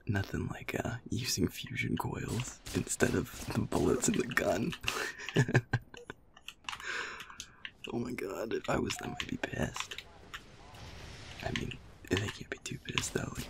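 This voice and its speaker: Gravelly young man chatting while gaming. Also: medium pitch. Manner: self-deprecating, muttering, often swearing. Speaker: gamer, audio creator. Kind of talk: casual chatter while playing a shooter game. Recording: noisy room with controller clicking. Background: game controller clicks.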